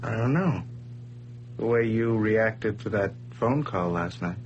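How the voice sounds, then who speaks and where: An actor playing Larry, a reassuring friend with a dry, joking manner, in an old radio drama. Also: male voice, on the low side, lively intonation, slow pace, a little mellow, highs cut off, a little hiss or hum. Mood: doubtful. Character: wisecracking man Larry, loyal old buddy.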